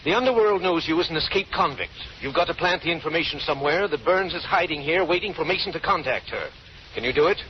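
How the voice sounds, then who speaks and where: A man playing a businesslike, scheming lawman in an old radio drama, giving crisp orders. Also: on the high side, lively intonation, fast talker, close to an everyday voice. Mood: commanding. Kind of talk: 1930s-40s radio drama acting. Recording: muffled, noisy old radio recording.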